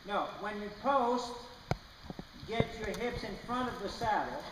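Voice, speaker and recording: A man's voice: high voice, lively intonation, unhurried, far from the mic. A man coaching a rider in a large indoor riding arena, patient and explanatory, often checking in with "okay?". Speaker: riding clinician. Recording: roomy echoey arena from afar with noise.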